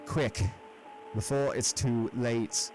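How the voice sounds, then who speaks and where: Guy doing a scared young dude stuck underground, high thin voice, hushed and desperate. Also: animated, takes it slowly, faint music behind. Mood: resigned. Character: terrified young man trapped underground, pleading.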